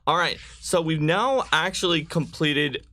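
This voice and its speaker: Guy hosting a tabletop game stream, really bright voice, explaining options casually. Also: on the high side, a little slow. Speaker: game host guiding character creation.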